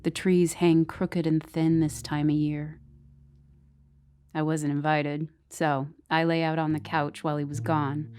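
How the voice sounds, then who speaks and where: An actress playing a bleak, resentful girlfriend who narrates a horror audio drama in a deadpan, brooding way. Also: low-pitched, measured pace, faint music behind.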